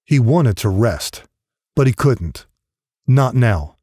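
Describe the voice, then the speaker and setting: A man's voice: medium pitch, animated, slow pace, dark tone, no echo, clean studio sound. A man reading a grim novel as scripted audiobook narration.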